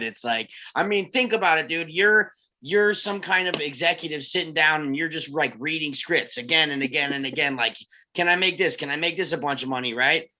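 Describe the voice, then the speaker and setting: A man's voice: a little high, pushed and bright. A man chatting casually in a slangy, buddy-like way as a table read cast member. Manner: slangy, says "dude" and "man" often. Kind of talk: casual chat.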